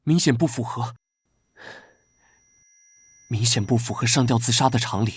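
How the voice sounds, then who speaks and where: An actor playing a guarded, prickly young knight vice-captain who speaks curtly and earnestly, in an animated drama dub. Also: male voice, medium pitch, moderate pace, breathy edge, no echo. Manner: curt, wary and earnest. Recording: background music under dialogue.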